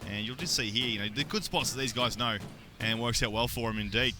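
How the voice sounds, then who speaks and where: A young adult man with an Australian accent doing play-by-play esports commentary, getting excited on big plays. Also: medium pitch, quick pace, over music. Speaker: esports caster.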